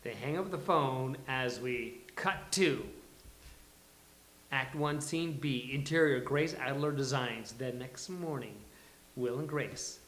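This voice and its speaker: A man hosting a live table read, announcing and reading stage directions in a halting, deliberate way. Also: mid-range voice, fairly bright tone. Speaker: host and stage-direction reader. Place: live venue with audience. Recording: echoey live venue.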